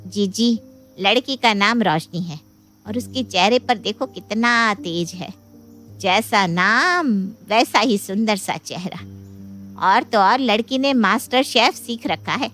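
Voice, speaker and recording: A woman's voice: mid-range voice, slow, faint music behind. A woman voicing a boastful, bustling younger sister and matchmaker in a moral story, with a gushing, persuasive manner. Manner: gushing, persuasive, animated phrasing. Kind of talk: dramatised Hindi moral story dialogue.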